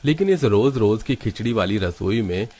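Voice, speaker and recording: A man's voice: fairly low pitch, expressive, normal speed, mellow, slight background noise. A man narrating a Hindi cartoon story in a scripted storytelling style.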